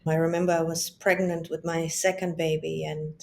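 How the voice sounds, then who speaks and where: Reflective woman in her forties, lowish voice. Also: level tone, normal speed, gravelly, darkish tone, a little hiss or hum. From Germany. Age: 40s. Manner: reflective, with frequent restarts and asides. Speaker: psychologist and business founder, guest. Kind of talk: casual interview conversation. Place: home, children in the background.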